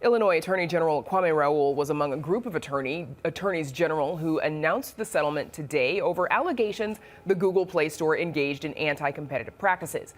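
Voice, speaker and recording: A woman with an American accent reading scripted news and hosting studio interviews in a crisp, measured broadcast delivery. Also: deep voice. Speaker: news anchor and host.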